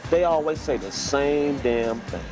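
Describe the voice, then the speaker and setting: Man as cocky rapper courier in an action flick, high-pitched, over loud film music. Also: a little slow, lightly acted, rough recording. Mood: swaggering. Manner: boastful, slangy, loose street talk. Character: brash man, a rapper turned drug courier, swaggering. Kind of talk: action movie dialogue. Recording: film soundtrack with music underneath.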